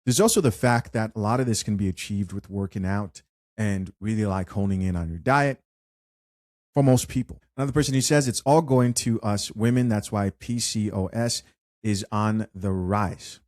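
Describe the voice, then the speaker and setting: A man hosting an unscripted commentary show, chatty and animated as he reads viewer comments aloud. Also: lowish voice, moderate pace, no echo, studio quality. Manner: chatty, animated, with frequent fillers and restarts. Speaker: host.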